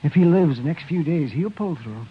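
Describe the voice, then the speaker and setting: A man acting a gruff but caring frontier town doctor in a 1950s western radio drama, plain-spoken and fretful. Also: fairly high pitch, lively intonation, moderate pace. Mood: worried. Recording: dull, slightly noisy old radio recording.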